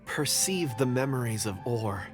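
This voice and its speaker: An actor playing a gentle, earnest young man with a hesitant, polite manner in a video game. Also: mid-range voice, animated, unhurried, loud music behind the voice, natural voice. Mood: shy, confiding. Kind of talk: video game character acting.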